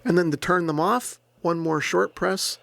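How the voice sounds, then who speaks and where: Male host, product walkthrough. Plain-spoken, methodical, noticeable vocal fry, very dry indoor sound. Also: high-pitched, a little slow, fairly bright tone, no room sound. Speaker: host, radio reviewer. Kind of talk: step-by-step product demonstration. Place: indoor room.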